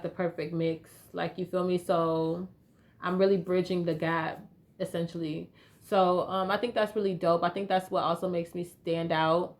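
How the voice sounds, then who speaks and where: A young woman from North Carolina, a guest rapper chatting casually in slang with lots of "you feel me". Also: fairly low pitch. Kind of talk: casual interview chat.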